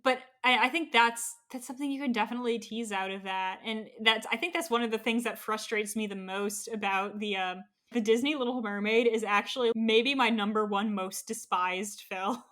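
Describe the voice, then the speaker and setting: Woman cohosting a podcast, kinda high bright voice, opinionated, just talking it through. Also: fairly even intonation, normal speed, few pauses. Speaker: podcast co-host. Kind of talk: casual discussion.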